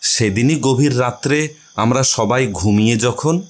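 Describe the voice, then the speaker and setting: A man's voice: medium pitch, a little slow. A man narrating a short story as a scripted audio reading.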